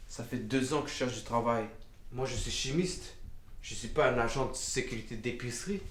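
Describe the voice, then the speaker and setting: A man's voice: medium pitch, moderate pace, reverberant, natural voice. A man playing a bitter, indignant immigrant chemist stuck working as a grocery security guard, in an amateur short film. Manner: indignant, exasperated.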